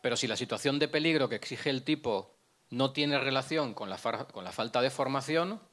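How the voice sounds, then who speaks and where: A man's voice: medium pitch, normal speed, a bit bright. Man lecturing through a handheld mic. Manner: explanatory, asks and answers own questions, frequent restarts. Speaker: prosecutor giving a conference talk. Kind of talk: lecture with slides. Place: conference room, indoors. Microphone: handheld microphone.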